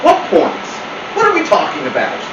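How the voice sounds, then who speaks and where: A man voicing a naive, excitable pet dog who blurts out incredulous questions. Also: high-pitched, moderate pace, muffled recording, pitched above the performer's own voice. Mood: bewildered, exasperated. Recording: roomy, distant mic, clear noise.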